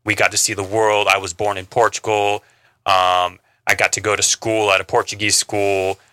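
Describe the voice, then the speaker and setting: A male sailor from Montana with a low, level voice that is crisp and very bright. It is recorded dry and close, and he speaks from the heart with small stumbles. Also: level tone, no echo. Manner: heartfelt, slightly halting. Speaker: Navy sailor guest. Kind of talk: radio interview.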